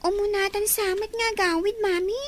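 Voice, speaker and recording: Very high-pitched whiny child, acted out. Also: fairly even intonation, normal speed, a bit bright. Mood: restless, whiny. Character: bored, complaining child on a countryside visit. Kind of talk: scripted Ilocano audio drama. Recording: very noisy recording with clicks.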